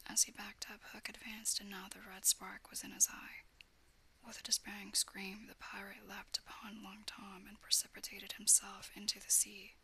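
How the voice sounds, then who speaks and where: A woman reading a classic story aloud in a steady whisper. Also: medium pitch.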